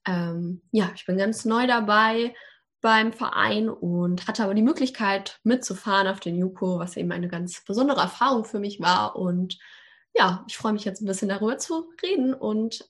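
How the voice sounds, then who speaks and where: A nineteen-year-old woman from Hamburg, a student joining a podcast remotely, talking chattily with frequent filler sounds. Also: mid-range voice. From Hamburg, Germany. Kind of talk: casual podcast talk. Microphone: remote call.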